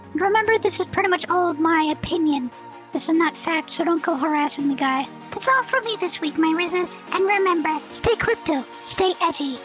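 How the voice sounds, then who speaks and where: A woman voicing a smug young boy savant who hosts a snarky scripted commentary rant. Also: very high voice. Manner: smug, snarky, self-assured. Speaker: commentary channel host. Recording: tinny phone-like sound, faint music.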